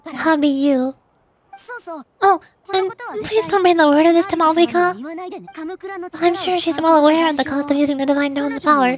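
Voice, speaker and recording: A woman voicing an earnest, worried young woman warrior with a pleading manner. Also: extremely high pitch.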